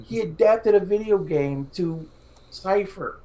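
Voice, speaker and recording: A man's voice: sits high, slow pace, mellow, poor audio. A middle-aged man co-hosting a podcast, talking casually and effusively, repeating phrases for emphasis. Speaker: podcast co-host. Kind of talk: casual enthusiastic group chat.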